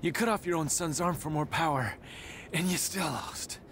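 A man's voice: a little high, moderate pace, a bit bright, a little hiss or hum, lightly acted. A man playing a cocky, laid-back middle-aged demon hunter in a video game cutscene, with a wry, teasing drawl.

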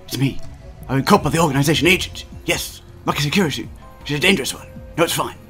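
A man's voice: fairly high pitch, lively intonation, fast, music in the background, natural voice. Man putting on a melodramatic, paranoid young mad scientist. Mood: defiant. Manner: melodramatic, pompous. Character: paranoid, grandiose young male self-styled mad scientist.

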